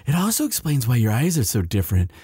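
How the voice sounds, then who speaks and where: A man's voice: medium pitch, wildly swooping pitch, fast, warm tone, slightly rough, dry recording, clean studio sound, exaggerated voice. A man playing a sweet, protective young demon university student in an intimate audio roleplay addressed to the listener. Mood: flustered, smitten. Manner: hesitant stammering when shy, firm when angry.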